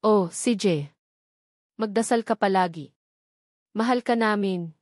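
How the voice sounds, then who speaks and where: A woman's voice: medium pitch, takes it slowly, darkish tone, no echo, studio quality, close to an everyday voice. A woman voicing a worried, motherly provincial mother in a storybook. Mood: affectionate. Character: worried provincial mother, money-minded, loving.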